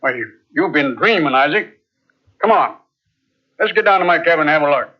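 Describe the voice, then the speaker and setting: An actor playing a gruff, commanding ship captain who brushes off wild talk, in 1940s radio acting style. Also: male voice, a little high, animated. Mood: brusque. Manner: brisk, commanding, dismissive. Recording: lo-fi old radio recording from cassette.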